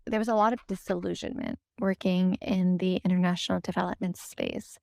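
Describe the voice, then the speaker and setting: A woman's voice: lowish voice, fairly even intonation, brisk, vocal fry, a little mellow, no room sound, studio quality. A woman with an American accent telling her story conversationally in an interview, reflective and informal, often saying "like" and "you know". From United States. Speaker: podcast guest and co-founder.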